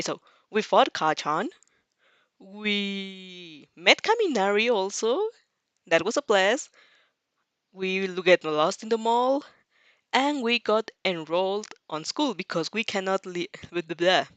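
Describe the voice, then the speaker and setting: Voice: medium pitch, fairly bright tone, no echo. Giggly young streamer, close dry sound. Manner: giggly, playful, rambling with self-interruptions. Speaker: host of a fan fiction reading stream. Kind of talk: casual livestream chat and dramatic read-along reaction.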